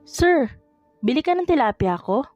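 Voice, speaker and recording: Audio drama role: playful, easily flustered young fish seller played by a woman, high and cheeky, close dry recording. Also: big pitch swings, normal speed, darkish tone, dry recording, lo-fi sound, soft background music. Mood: cheerfully hawking. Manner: exclamatory, cheeky.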